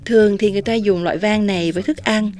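A young woman hosting an outdoor interview, holding a handheld mic and translating her guest's words with lively delivery. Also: medium pitch, rapid-fire. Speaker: host. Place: outdoor patio at a winery.

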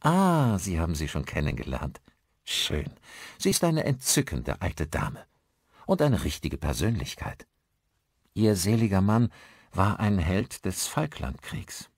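A man acts out a friendly village pastor in an audiobook. He is courteous and talkative, with very lively intonation in a dry recording. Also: low-pitched, unhurried, slightly rough, no room sound, heavily acted. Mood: affable. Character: friendly, chatty village pastor, male, married.

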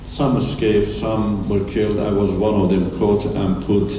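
Deep-voiced man, native Turkish, echoey hall. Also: flat delivery, warm tone. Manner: earnest, halting, with many restarts. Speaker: guest speaker. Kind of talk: testimony talk in church. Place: large dark church hall with audience. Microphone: handheld mic. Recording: echoey hall, distant mic, faint hiss.

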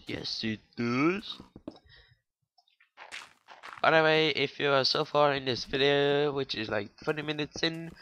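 A man's voice: on the high side, fairly bright tone. A young man with a non-native English accent, rambling and swearing casually as he comments on his own gameplay. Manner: rambling, swearing, joking to viewers. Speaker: gaming YouTuber.

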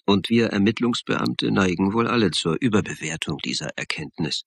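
A man's voice: lowish voice, normal speed, gravelly, slight background noise. An actor playing a wry, reflective police investigator who narrates his case in a dry, sardonic way for a crime radio play.

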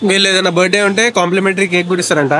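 Fast-talking young vlogger man. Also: high-pitched, steady tone, sharp tone. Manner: chatty, running commentary to camera. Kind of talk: casual vlog commentary.

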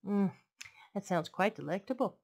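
A woman's voice: mid-range voice, expressive, normal speed, vocal fry, a little mellow. A woman voicing a hungry, appreciative male hot dog vendor from a picture book, savouring words and drawing them out.

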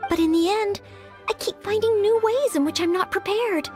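A woman's voice: extremely high pitch, moderate pace, soft background music. A woman voicing an earnest, anxious young maid who dreams of knighthood in a video game, speaking politely and hesitantly. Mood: anxious, apologetic. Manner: polite, hesitant, self-doubting.

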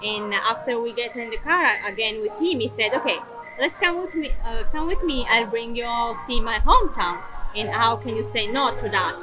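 A woman's voice: a little high, expressive, normal speed, over music, poor audio. A young woman vlogging casually to the camera, chatty, with lots of fillers and restarts. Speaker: travel vlogger. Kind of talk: casual vlog talk to camera.

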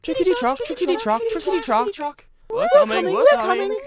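A man voicing little panting railway coaches in a storybook, with squeaky, rhythmic chanting. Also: sing-song, a little fast, a crowd in the background. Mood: eager.

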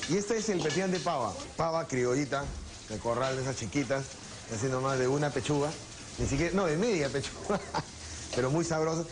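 A middle-aged Peruvian man, a chef, calmly explaining his recipes in a restaurant kitchen and recalling home cooking. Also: fairly high pitch. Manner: unhurried, repeats words while working at the stove. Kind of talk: explaining recipes while cooking, then reminiscing. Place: restaurant kitchen, then dining room. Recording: noisy restaurant recording.

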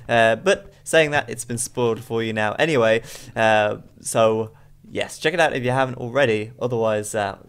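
A young man with a cold and a stuffy nose, tired late at night, casting a strategy game match in rambling commentary full of fillers. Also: medium pitch. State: bunged up with a cold. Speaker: game caster.

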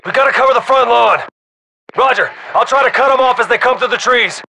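A man playing a tense, tough special forces squadmate in a game, with clipped, forceful callouts. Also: extremely high pitch, brisk, creaky, exaggerated voice, poor audio. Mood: focused. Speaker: soldier in squad. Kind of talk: military game combat callouts.

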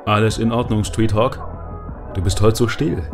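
A man as a courteous, worn-out ork fixer in a radio play. His low voice is calm and measured, with music clearly behind it. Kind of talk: German audio drama acting.

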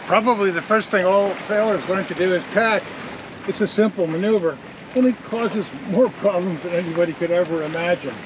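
A man's voice: sits high, brisk. A man giving practical sailing instruction in an explanatory, teacherly way. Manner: didactic, explanatory. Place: outdoors on a sailboat under way. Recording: windy outdoor boat noise.

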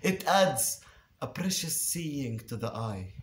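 A man reciting Shakespearean verse theatrically, declamatory and deliberate, with dramatic pauses and emphatic swells. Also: medium pitch, sing-song. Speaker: actor reciting a Shakespeare monologue. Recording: roomy, echoing space.

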